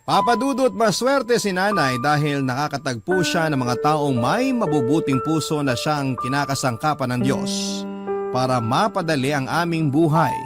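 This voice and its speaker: A man's voice: a little high, expressive, normal speed, close to an everyday voice. A man playing a grateful grown child telling a life story, respectful and sentimental, as radio drama narration. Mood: grateful, sombre. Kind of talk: scripted first-person radio drama narration. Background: clear background music.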